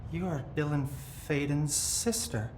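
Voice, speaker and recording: An actor playing an eerily detached, possessed captive with a deliberate, embittered manner in a video game. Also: male voice, a little high, a little mellow, natural voice. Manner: slow, deliberate, eerie detachment. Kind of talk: video game cinematic acting. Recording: echoey room, noisy ambience.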